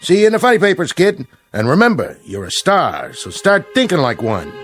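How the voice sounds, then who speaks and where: An actor playing a slick, cajoling showbiz agent in a radio drama, breezy and slangy. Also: male voice, on the high side, wildly swooping pitch, normal speed, fairly bright tone, soft background music. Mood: breezy, flattering.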